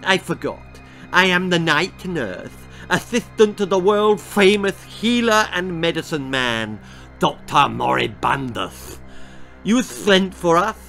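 A man's voice: high-pitched, expressive, slow, vocal fry, bright, forceful tone, faint music behind, voice pushed up, exaggerated voice. A man voicing a fat, eager night nurse woman with a wet, slobbering lisp in a storybook reading. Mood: gushing, eager. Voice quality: lisping, wet slobbering speech.

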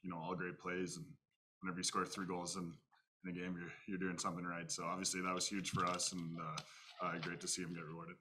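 A man's voice: low-pitched, completely flat delivery, quick pace, sharp tone, breathy edge. A man answering as a hockey goaltender at a postgame press conference, brief and matter-of-fact. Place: arena press room. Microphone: table mic.

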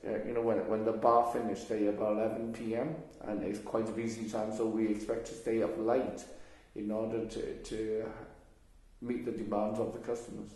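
An older man speaks in a fairly low, mellow voice. He answers haltingly, pausing on fillers and restarting his sentences. The recording is very echoey, as if made in a bare room. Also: little pitch movement. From Hong Kong. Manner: hesitant, many fillers and restarts. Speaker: interviewee. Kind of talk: oral history interview.

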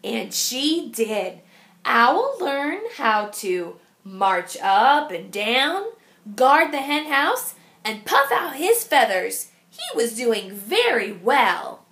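A woman reading a picture book aloud to children as a teacher, expressive and emphatic. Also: a little high, very slow.

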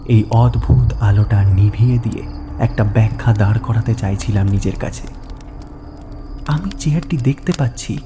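A man narrating a horror story in the first person, suspenseful and dramatic, with halting pauses. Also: mid-range voice, warm tone.

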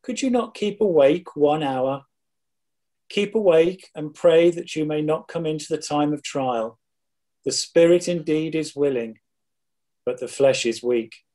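A man reads as a solemn teacher in a dramatized scripture reading. His voice sits somewhat high for a man. He declaims each line in a measured way. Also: mellow, close to an everyday voice. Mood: reproachful. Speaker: scripture reader.

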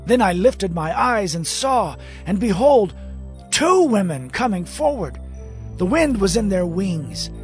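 A man playing an earnest, awed prophet recounting his visions dramatically in an audio Bible. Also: sits high.

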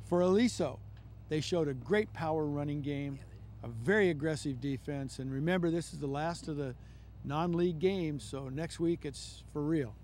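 A man's voice: fairly high pitch, moderate pace, darkish tone, slight background noise. An older man acting as coach analyst on a post-game football show outdoors at night, speaking measuredly into a handheld mic with frequent fillers.

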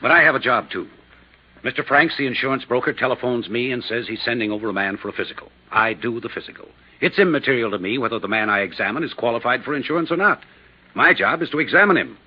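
An actor playing a belligerent, defensive doctor in a 1950s radio drama, curt, indignant and husky. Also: male voice, mid-range voice, quick pace, lightly acted. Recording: dull, lo-fi old radio recording.

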